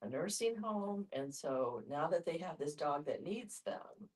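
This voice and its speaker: Woman, very low pitch, distant mic, casual group discussion. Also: expressive, a little slow.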